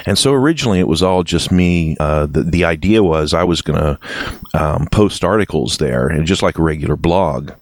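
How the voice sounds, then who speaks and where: Deep-voiced middle-aged man, rambling. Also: lively intonation, moderate pace, no echo, studio quality. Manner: rambling, thinks aloud. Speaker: podcast guest. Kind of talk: casual interview chat.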